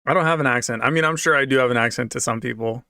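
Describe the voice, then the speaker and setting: Male livestreamer, American, casual and repetitive, mid-pitched with vocal fry, dry sound. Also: very fast, pushed and bright, no room sound. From United States, raised moving around in a military family. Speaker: livestream host answering viewer comments. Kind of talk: casual off-the-cuff chat with viewers.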